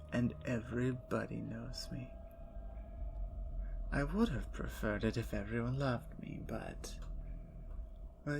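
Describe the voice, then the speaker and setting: A woman voicing a playful, menacing captured female vampire, in an ASMR roleplay with soft whispers and a teasing, taunting manner. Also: very deep voice, vocal fry, a little hiss or hum, full character voice.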